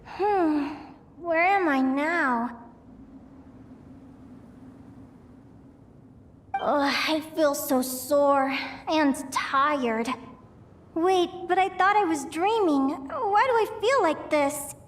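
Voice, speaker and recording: Casting: young female game adventurer, very high voice, slow delivery, dreamy and emotional, musing to herself. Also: lively intonation, slight background noise. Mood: weary, puzzled. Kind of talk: game voice acting, dreamy monologue.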